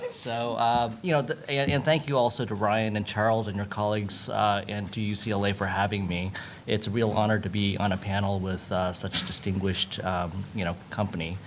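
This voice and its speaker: A man with an American accent giving unscripted panel remarks, halting and thinking aloud as he goes. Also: lowish voice, level tone, a little hiss or hum. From United States. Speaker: panelist, lawyer.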